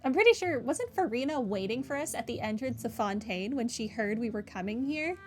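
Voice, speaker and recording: A woman with a somewhat high voice commentating on a game livestream. She chats casually, with playful sarcasm. Faint game music sits behind her. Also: lively intonation, fairly quick. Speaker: streamer.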